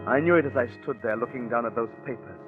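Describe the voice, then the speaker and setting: A man's voice: medium pitch, fast, close to an everyday voice. A man playing a scheming gambler who narrates his own crime in a wry, confiding way, in 1940s radio suspense style. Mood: tense, confiding. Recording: muffled 1940s radio broadcast with music.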